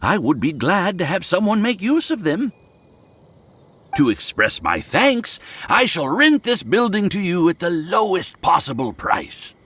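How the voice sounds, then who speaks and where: A man's voice: high voice, sing-song, measured pace, a bit bright, breathy edge, exaggerated voice. A man voicing an effusive, genial house owner in an anime game, theatrical, courteous and eager to please. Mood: grateful, jovial.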